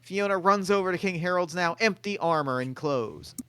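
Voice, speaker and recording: A man reads stage directions and then hosts a charity stream in a steady, matter-of-fact way. His voice is a little high for a man, and the sound is dry and close. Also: moderate pace, clear, resonant tone, dry recording. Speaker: narrator and stream host.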